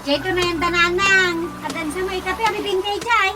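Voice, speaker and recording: A homely village wife from a radio drama comedy, with a high voice and a conversational, questioning way of talking. Music plays behind her. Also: fairly quick.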